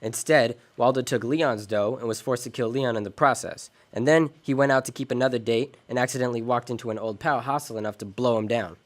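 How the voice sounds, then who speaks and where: An actor playing a cool, wisecracking private detective in a radio noir drama, sardonic and slangy. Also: male voice, medium pitch, fast, few pauses, close to an everyday voice. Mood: sardonic, businesslike.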